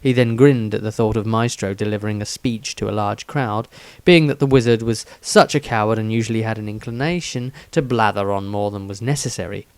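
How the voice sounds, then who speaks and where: A man's voice: on the low side, a little fast, a little mellow, smooth, dry recording. A man reading a scripted fantasy story aloud as its narrator.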